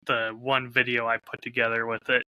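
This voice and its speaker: A man chatting casually as a podcast co-host in a low-key, measured, slightly hedging way. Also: completely flat delivery, bright, forceful tone, poor audio. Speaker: podcast co-host and audio drama creator.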